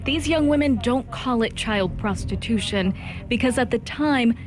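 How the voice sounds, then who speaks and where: A woman reporter narrating a news package in a deliberate, scripted voice-over. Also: on the high side. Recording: voice-over over loud music.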